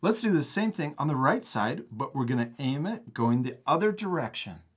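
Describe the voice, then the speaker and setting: A man's voice: medium pitch, big pitch swings, moderate pace, husky. A man hosting a step-by-step drawing lesson for children in a casual, encouraging manner. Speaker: art teacher and host.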